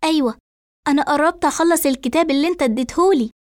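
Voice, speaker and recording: A woman's voice: high voice, racing, a little mellow, dry recording, studio quality, voice pushed up, natural voice. A woman voicing a fragile but defiant daughter in an audio story, emotional and insistent, with an Egyptian accent. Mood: devoted. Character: defiant, fragile daughter devoted to dead father.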